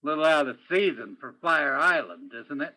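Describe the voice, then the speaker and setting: Terse male police detective, acted by a man, highish voice, swinging pitch, clipped radio-noir delivery. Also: very animated, moderate pace, pushed and bright, full character voice, low-quality recording. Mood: blunt, probing. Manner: clipped, theatrical second-person narration and blunt questioning. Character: terse male police detective narrating his own case. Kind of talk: old-time radio crime drama acting.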